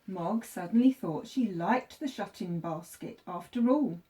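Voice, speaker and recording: A woman teacher reading a children's story aloud, with expressive, deliberate phrasing for young listeners. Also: medium pitch.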